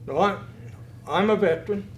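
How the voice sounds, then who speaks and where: A man's voice: high-pitched, normal speed, reverberant, slight background noise. An older man and veteran, asking a blunt, indignant question from the floor of a public forum. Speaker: audience member and veteran. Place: community hall.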